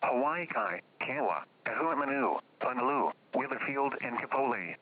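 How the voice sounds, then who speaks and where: A man's voice: mid-range voice, animated, normal speed, no echo, phone-quality sound. A man reading scripted weather alert bulletins as a radio announcer, in a formal, impersonal and stilted manner.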